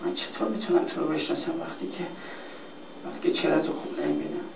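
A man's voice: on the high side, steady tone, gravelly. A man reading a play on stage, playing a dying, fearful man who pleads in anguish. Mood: wary, questioning. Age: middle-aged. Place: indoor hall or classroom, staged reading. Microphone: handheld dynamic mic on stand. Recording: noisy, echoey live hall recording, distant.

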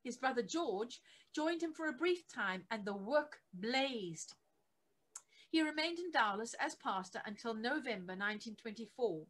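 Woman, middle-aged, slightly high pitch, scripted book narration, measured delivery, stressed key phrases. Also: animated, fairly bright tone. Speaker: reader of a church history book. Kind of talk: scripted narration, reading aloud from a biography. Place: attic home office, indoors.

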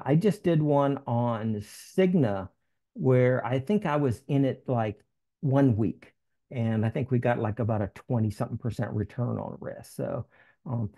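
Gravelly, mellow older American man presenting. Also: medium pitch. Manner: halting, conversational, frequent you-knows. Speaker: options trading meetup presenter. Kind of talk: informal webinar teaching with slides and screen share. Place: home office room. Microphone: headset.

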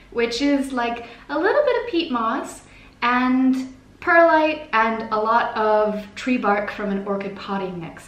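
A woman's voice: a little high, a bit bright. A woman in her twenties hosting a casual plant how-to vlog, chatty and playful with self-mocking asides, seated at a table in a plant-filled living room. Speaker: plant vlogger, host. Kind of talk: casual chat with how-to tips. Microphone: camera at a distance, seated at a table. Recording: roomy echo.

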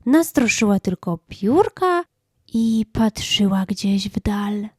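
Woman narrator, children's bedtime-style tale, calm, slow delivery, mid pitch, dry close recording. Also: slightly rough, dry recording. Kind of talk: calm scripted storytelling for children.

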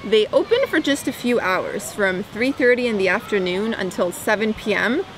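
A woman's voice: medium pitch, normal speed. A young woman vlogging to camera on an outdoor cafe terrace, chatting and tasting food. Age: young adult. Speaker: travel vlogger. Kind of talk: casual chat to camera. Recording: noisy outdoor recording.

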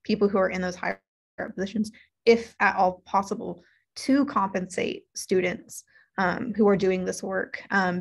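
Young college woman with creaky voice. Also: medium pitch. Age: young adult. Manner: earnest, many fillers and restarts. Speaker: student panelist. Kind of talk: panel discussion over video call. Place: indoor room on video call.

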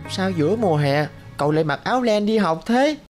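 A woman's voice: medium pitch, expressive, fairly quick, darkish tone, faint music behind, lightly acted. A woman voicing a good-natured, loyal schoolboy in a cartoon, with a casual youthful manner. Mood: friendly chatter. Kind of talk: cartoon voice acting.

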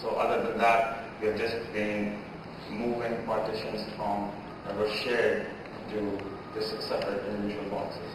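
Male panelist, lowish voice, measured and careful, distant in an echoey room with noise. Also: monotone. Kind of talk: panel answers. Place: large conference hall stage.

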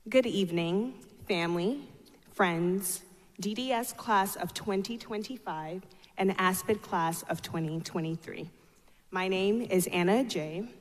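A woman's voice: fairly low pitch, unhurried. A woman, giving a formal prepared speech as a student speaker at a podium. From Ghana, grew up in North Carolina, United States. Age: 20s. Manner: formal, reading from notes. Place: large auditorium stage at a podium. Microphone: podium microphone. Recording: echoey auditorium with long reverb.

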